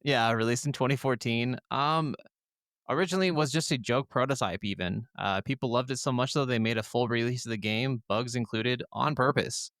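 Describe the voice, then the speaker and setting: A man with a clear, smooth voice of medium pitch co-hosts a casual gaming podcast. He talks informally and agreeably. The recording is dry and free of room sound. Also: moderate pace, a bit bright, dry recording. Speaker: podcast co-host.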